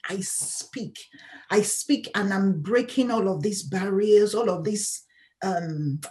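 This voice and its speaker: A woman guest in an interview, talking candidly as a patient advocate in an emphatic, outspoken way and laughing often. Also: medium pitch.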